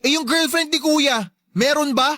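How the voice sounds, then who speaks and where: A wounded, resentful son in a radio drama. His voice is very high and sharp, recorded close and dry. He argues back in an aggrieved, pleading manner. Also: normal speed, dry recording. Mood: hurt, defiant. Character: hurt, resentful adult son standing up to his mother. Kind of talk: Tagalog radio drama acting.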